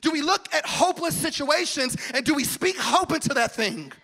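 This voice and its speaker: A high, bright-voiced man preaches to a congregation from a church stage, holding his mic close. He surges into shouted calls and then drops to soft, hushed confession. He is a pastor in his forties. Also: animated, normal speed. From United States. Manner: swings from shouted preaching to hushed intimate talk. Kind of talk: sermon. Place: large church stage with audience. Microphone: handheld mic.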